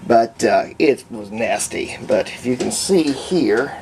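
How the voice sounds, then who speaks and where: A man with a gravelly, creaky voice narrates a hands-on hobby demonstration in a casual, folksy way. He takes his time and thinks aloud as he goes. There is a faint layer of noise behind him in the homemade recording. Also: medium pitch, sing-song, slow pace. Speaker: hobbyist restorer and video host.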